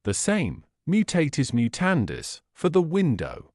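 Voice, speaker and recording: A man voicing a coolly analytical Victorian gentleman detective in an audiobook, speaking in a precise, erudite, dry manner. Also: a little high, huge pitch swings, unhurried, no echo, voice pushed up, exaggerated voice. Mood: analytical, assured. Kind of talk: audiobook character voice.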